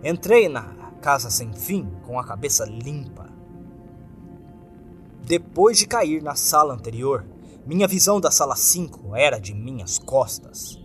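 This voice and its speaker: A man's voice: medium pitch, very animated, measured pace. A man with a Brazilian accent narrating a scripted first-person horror story in a dramatic, suspenseful way. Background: background music.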